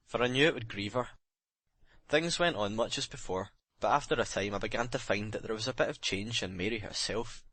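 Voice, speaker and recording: A man's voice: fairly low pitch, pushed and bright, husky, no room sound. A man playing a bitter, remorseful sailor making a brooding, emphatic confession in a dramatic audiobook reading.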